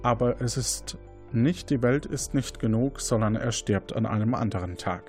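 A man's voice: medium pitch, moderate pace, darkish tone, faint music behind. A man hosting an audio quiz game, presenting statements and questions to contestants. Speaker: quiz show host.

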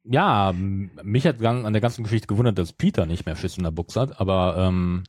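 Low, mellow, slightly husky man. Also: brisk, dry recording, clean studio sound. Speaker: podcast host. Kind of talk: casual discussion of an audio drama.

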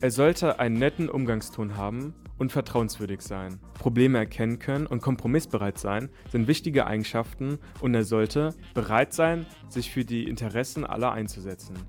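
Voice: mid-range voice, normal speed, few pauses, a little mellow. A radio presenter reading scripted narration in German for a report. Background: music.

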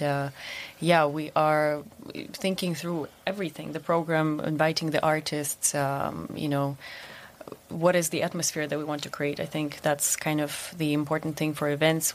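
A woman's voice: deep voice, slight background noise. A young woman raised in Russia and the United States, chatting as an interview guest with frequent ums and restarts. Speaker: event manager, guest. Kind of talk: casual interview talk.

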